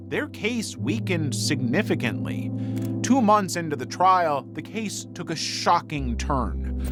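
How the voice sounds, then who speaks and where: A man reading scripted true-crime documentary narration as the narrator. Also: on the high side.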